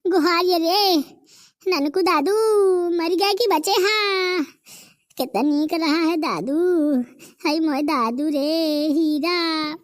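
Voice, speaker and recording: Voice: very high voice, extremely slow, long pauses, heavily acted. A performer voicing a young village grandson with a Bundelkhandi accent, pleading and teasing in a cartoon comedy. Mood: wailing.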